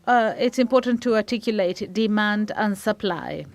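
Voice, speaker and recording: Smooth-voiced woman interpreting a panel. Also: medium pitch. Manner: halting, with frequent restarts while keeping up. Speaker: simultaneous interpreter. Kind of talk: live interpretation of a conference panel.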